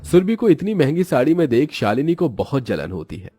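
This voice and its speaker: A man narrates a children's story as a scripted storyteller. His voice is husky and mid-pitched with lively swings, recorded dry over music. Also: a little fast.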